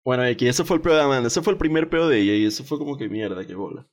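A man's voice: mid-range voice, lively intonation, rapid-fire. A young man with a Venezuelan accent co-hosting a casual gossip podcast, talking in informal slang sprinkled with English words. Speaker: podcast co-host. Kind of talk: casual gossip chat, partly reading out posts.

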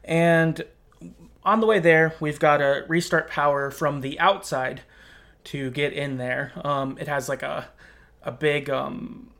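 A man with a voice a little higher than most hosts a casual discussion podcast. He tells things in an informal, conversational way, like someone recapping a game for friends. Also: fairly even intonation, slow, fairly bright tone. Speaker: podcast host.

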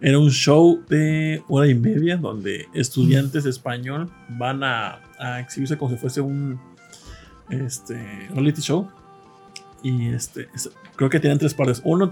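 A man co-hosting a podcast with a Mexican Spanish accent, telling rambling stories with many pauses and fillers. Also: mid-range voice, a little mellow. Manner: rambling storyteller, hesitant fillers. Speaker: podcast co-host. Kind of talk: casual chat.

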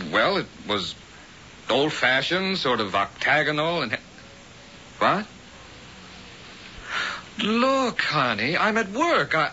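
An impatient young reporter talks with a bright, lively voice that rises and falls sharply. His delivery is unhurried but agitated. The old broadcast sound is dull and carries a faint hiss. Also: fairly high pitch, full character voice. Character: worried young male reporter, pushy and impatient.

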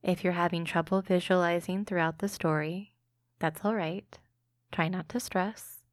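A woman hosting a guided imagery meditation podcast, speaking slowly and gently into a close mic. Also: lowish voice, no echo. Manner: slow, soothing, gentle delivery.

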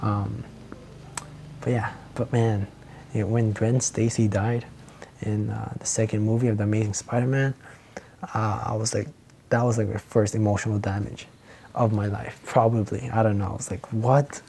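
A teenage boy talks through his own podcast in a slightly low, mellow voice. He meanders with plenty of ums, restarts and soft laughs, and a faint hiss sits under the recording. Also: level tone, normal speed, gravelly. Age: teens. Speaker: podcast host. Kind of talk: rambling solo podcast chat.

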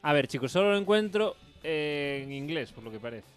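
A man's voice: a little high, animated, moderate pace. A young man with a Spanish accent, hosting a casual, bantering podcast chat. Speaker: podcast host. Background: music.

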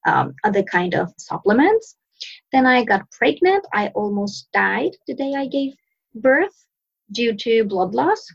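Woman from Sweden, husky voice, unhurried talk with restarts, dull lo-fi sound. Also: medium pitch. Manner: unhurried, searching for words with fillers and restarts. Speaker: mindset and success coach, guest. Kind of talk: casual interview answers.

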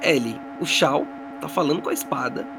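Brazilian male game master over clear music. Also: on the high side, brisk. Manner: colloquial, descriptive, checks in with players. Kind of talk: casual tabletop game narration.

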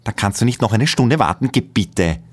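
A man voicing a snippy, sporty young woman with an Austrian accent, high and teasing. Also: sing-song, brisk. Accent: Austrian German. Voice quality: high, sassy.

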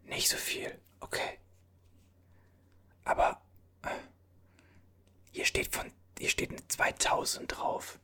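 A performer whispering as a bewildered time traveler from an older era, puzzling over strange objects in a halting voice full of restarts. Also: bright, forceful tone. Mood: puzzled. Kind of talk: whispered ASMR roleplay.

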